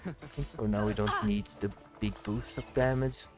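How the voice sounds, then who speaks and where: A man's voice: fairly low pitch, moderate pace. A man giving casual gameplay commentary, muttering his thoughts aloud in short fragments. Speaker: gamer narrating a playthrough. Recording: game music behind. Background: video game music.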